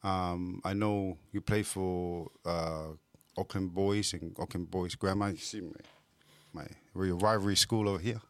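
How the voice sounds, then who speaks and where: A man hosting a conversational radio interview, speaking haltingly and often repeating words. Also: low-pitched, steady tone, dry recording. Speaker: radio show host.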